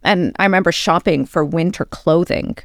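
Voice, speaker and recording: A middle-aged woman chatting casually, telling animated stories and acting out the voices of people she quotes. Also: lowish voice, normal speed, no room sound, clean studio sound. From Canada. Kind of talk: casual conversation.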